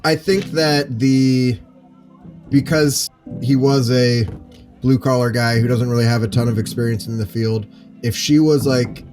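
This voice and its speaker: A man's voice: mid-range voice, steady tone, unhurried, a little mellow, soft background music. A man in a casual panel discussion, candid and hesitant as he thinks aloud. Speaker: panel participant.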